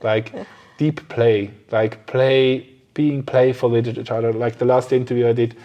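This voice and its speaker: Man chatting casually, medium-pitched voice. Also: level tone, measured pace, warm tone, dull audio. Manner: agreeable, frequent affirming responses. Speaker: podcast interviewer. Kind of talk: casual chat.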